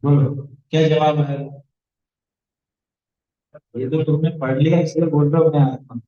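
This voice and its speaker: A man's voice: on the high side, fairly even intonation, measured pace, dull audio. A man teaching a religious studies lesson to a class of children, explaining points and asking the class questions.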